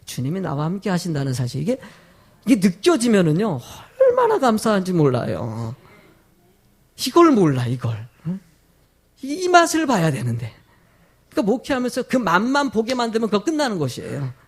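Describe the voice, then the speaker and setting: A male pastor delivers a sermon in a high-pitched voice with a heavy gravelly creak, strained by a cold. He leans hard on key phrases, stretching them out, and keeps turning to his congregation with rhetorical questions. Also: wildly swooping pitch. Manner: emphatic, with drawn-out stressed phrases. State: sore throat from a cold.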